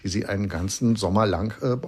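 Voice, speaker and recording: A man reviewing films in a radio conversation, retelling plots in a lively way with many fillers. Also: lowish voice, a little fast, slightly rough. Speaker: film critic.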